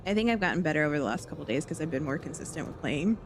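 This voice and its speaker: A woman's voice: low voice, lively intonation, rapid-fire, noisy background. A woman chatting casually while livestreaming a game, talking off the cuff to viewers. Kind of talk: casual chat while gaming. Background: game music.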